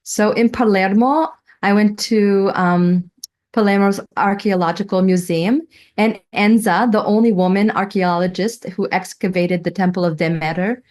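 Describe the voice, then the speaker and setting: A middle-aged woman giving a prepared personal presentation, telling her story in a reverent, deliberate way. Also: mid-range voice, fairly even intonation, a little mellow. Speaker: student presenting a thesis.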